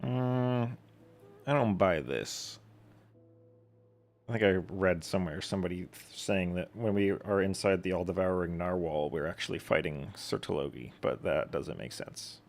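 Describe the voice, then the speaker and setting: Deep, gravelly male game streamer. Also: moderate pace, soft background music. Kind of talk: casual live stream commentary.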